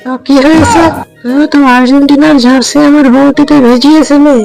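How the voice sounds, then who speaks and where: A woman's voice: high-pitched, steady tone, fairly quick, gravelly, music in the background, rough recording. A woman voicing a taunting, combative fox co-wife in a cartoon. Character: taunting, easily hurt fox co-wife, rival football fan. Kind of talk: Bengali cartoon voice acting.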